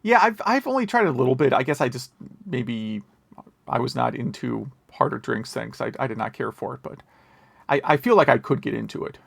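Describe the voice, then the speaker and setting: A man's voice: mid-range voice, expressive, brisk, gravelly, a bit bright, no room sound. An American man co-hosting a podcast in casual chat, wry and opinionated. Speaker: podcast co-host.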